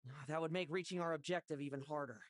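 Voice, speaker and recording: An actor playing a reckless, commanding knight with dark resolve in a video game, intense and theatrical. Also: male voice, fairly high pitch, fairly even intonation, fast talker, no room sound, clean studio sound, lightly acted. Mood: coolly resolved.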